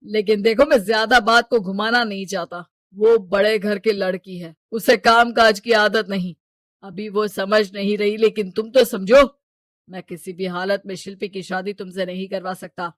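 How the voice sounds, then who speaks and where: A woman's voice: medium pitch, steady tone, normal speed, no room sound, lightly acted. Brusque, scolding cartoon dad, stern and mercenary, dry sound, female performer. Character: stern, money-minded, domineering father of a bride.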